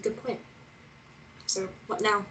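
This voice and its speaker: A woman's voice: medium pitch, little pitch movement, slow pace, bright, forceful tone, a little hiss or hum, rough recording. A woman playing a stubborn, irreverent young woman in a screenplay read, talking in a hesitant, conversational way. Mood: guarded. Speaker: actor in a screenplay table read.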